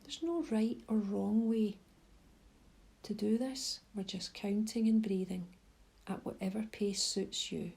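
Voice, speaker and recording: Woman doing a guided meditation, breathy n husky, bit of vocal fry, gentle and slow to move on. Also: medium pitch. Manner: gentle, unhurried instruction with pauses. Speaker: mindfulness guide.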